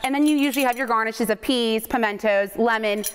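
A woman's voice: a little high, brisk, a little hiss or hum. A woman in her thirties, a restaurant chef guest, explaining a dish step by step in an upbeat way on a TV studio kitchen set. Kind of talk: cooking demo on TV.

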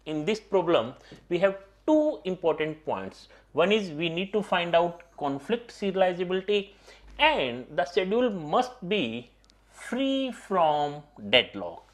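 A man's voice: high-pitched, big pitch swings, measured pace. A middle-aged man teaching an exam-prep lecture in a studio classroom, repeating key points and often addressing his students directly. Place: studio classroom at a digital whiteboard.

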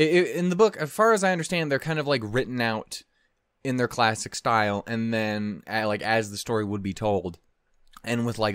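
A man in his thirties giving casual, rambling reaction commentary as a host, swearing and halting as he digresses. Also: fairly low pitch, dry recording, clean studio sound. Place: small furnished room at home, indoors. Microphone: close desk condenser microphone.

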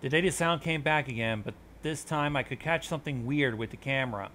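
A man's voice: mid-range voice, a little fast, fairly bright tone. Man reading aloud, faint background noise. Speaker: host of a reaction show. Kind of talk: reading on-screen text aloud with commentary.

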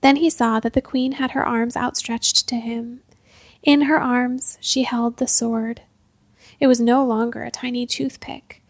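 Female narrator for fiction, slightly high with heavy creak, measured scripted read, very dry sound. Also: flat delivery, dry recording. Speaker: storyteller, narrator.

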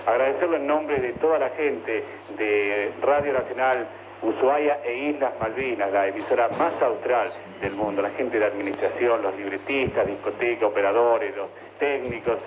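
A man's voice: on the low side, normal speed. Argentine radio man on a muffled, noisy link. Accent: Argentine Spanish. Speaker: radio announcer. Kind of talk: formal message read slowly for dictation.

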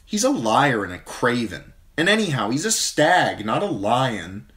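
A man's voice: mid-range voice, very animated, a little slow, a bit bright, voice pushed up. A man voicing a blunt, tomboyish younger noble girl in an audiobook, matter-of-fact. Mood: scornful. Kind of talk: audiobook character voice.

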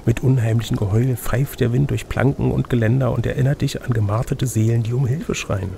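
Mellow, creaky-voiced man reading a gamebook. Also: mid-range voice, fairly even intonation, brisk, a little hiss or hum. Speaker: reader and game master. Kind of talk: reading a gamebook aloud with casual asides.

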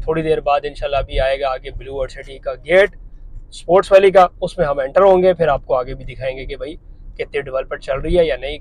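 A man's voice: mid-range voice, slight background noise, low-quality recording. A man in his thirties from Pakistan, talking viewers through a property site while driving, in a chatty salesman's tone with a lapel mic inside a car. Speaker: property dealer vlogger. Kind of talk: driving tour commentary.

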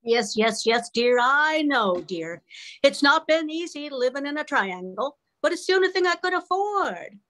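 A woman's voice: sits high, big pitch swings, measured pace, sharp tone, full character voice. An older woman playing an apologetic, woeful old granny in a radio melodrama, speaking hesitantly. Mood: apologetic, resigned. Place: at home on a group video call.

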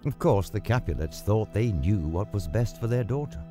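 A man reading a scripted story as narrator in a measured, dramatic way. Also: on the low side, animated. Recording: music under the voice. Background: background music.

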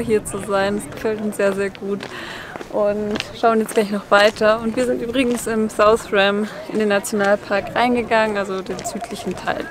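A young woman chatting casually to a handheld camera in a car and at an outdoor overlook for a travel vlog. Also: medium pitch. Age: young adult. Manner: lively and chatty, quick laughs. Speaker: travel vlogger. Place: inside a car, then outdoors at a canyon overlook. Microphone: handheld camera at arm's length. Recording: music behind the voice.

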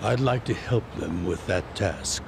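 An actor playing an older retired yakuza boss, weary and fatherly, in a slow, measured, reflective manner. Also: low-pitched, creaky, noisy background, full character voice. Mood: calm, reflective. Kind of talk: video game cutscene dub.

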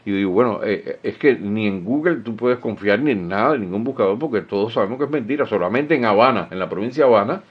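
Middle-aged Cuban man, lowish voice, sarcastic home commentary, muffled lo-fi recording. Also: lively intonation, fast, few pauses, husky. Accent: Cuban Spanish. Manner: sarcastic, indignant, many fillers and restarts. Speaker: political commentator. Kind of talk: solo video commentary. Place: small home room. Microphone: studio mic with headphones. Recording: dull, lo-fi sound.

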